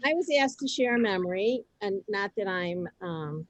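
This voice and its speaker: A middle-aged woman hosting a group on a video call, chatting informally and telling stories in a conversational, animated way. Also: mid-range voice, normal speed, no echo. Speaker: host of a synagogue group session. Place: video call, close dry sound.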